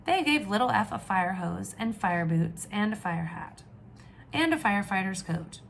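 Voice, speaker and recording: A woman teacher reading aloud to young children, with expressive questions and drawn-out emphasis. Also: mid-range voice, creaky, a little hiss or hum. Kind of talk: children's read-aloud lesson.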